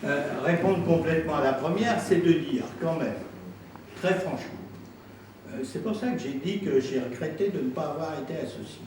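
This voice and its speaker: A man speaking as a council president, explaining himself in an insistent, deliberate way at a public meeting. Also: on the high side. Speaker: president of an intercommunal council answering questions. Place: indoor council meeting room. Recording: very echoey room, distant mic, faint noise.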